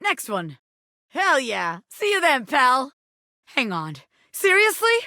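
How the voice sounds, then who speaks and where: A scrappy little ex-gangster turned showgirl for a video game. The voice is high and forceful, swinging widely in pitch with cocky, streetwise swagger. It is recorded very dry and close. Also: huge pitch swings, slow, no echo, full character voice. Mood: warm, sincere, then impatient. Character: brash, scrappy bobby pin, ex-gangster turned flirty magician showgirl. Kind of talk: animated video game character acting.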